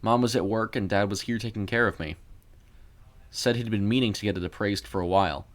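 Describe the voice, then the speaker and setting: A man voicing a grieving, wistful sister in an audio story, speaking in a hesitant, halting way. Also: lowish voice, close to an everyday voice. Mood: recalling, hesitant. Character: grieving young woman, wistful sister reminiscing.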